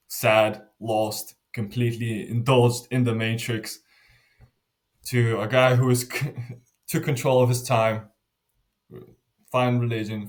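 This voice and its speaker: A young man in his twenties, born in Lithuania, talks through his story in an earnest, unpolished way. His voice sits on the low side, and he often backs up mid-sentence before pushing on, his voice dropping softer at the ends of his thoughts. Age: 20s. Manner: earnest, frequent restarts and fillers, trailing off softly. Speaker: interview guest. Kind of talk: casual interview answers.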